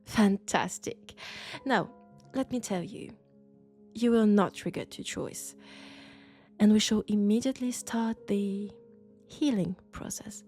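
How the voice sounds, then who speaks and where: A woman with a French accent playing an elegant, condescending vampire scholar in an intimate roleplay audio drama, speaking deliberately and seductively. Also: medium pitch. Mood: pleased, soothing. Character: elegant, sophisticated, coolly curious female vampire scholar. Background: faint dark ambient music.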